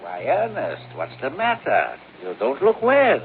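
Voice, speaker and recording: Courteous shop owner, lively, old radio. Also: male voice, mid-range voice, measured pace. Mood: concerned. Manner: hesitant, courteous, chatty. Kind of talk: 1950s radio drama acting. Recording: noisy, narrow vintage radio recording.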